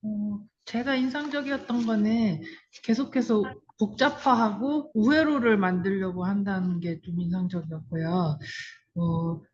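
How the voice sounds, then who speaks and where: A woman's voice: medium pitch, measured pace, darkish tone, highs cut off. A woman taking part in a seminar, giving an informal, tentative reflection and rewording as she explains, over an online call. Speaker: seminar participant. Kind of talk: informal spoken reflection in a discussion.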